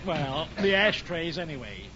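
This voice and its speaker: An actor playing a blustery, boastful husband in a 1940s radio situation comedy, with a theatrical delivery. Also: male voice, a little high, big pitch swings, a little slow. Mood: weary grumbling. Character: blustery, cocky husband doing the housework. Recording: muffled, noisy 1940s radio recording.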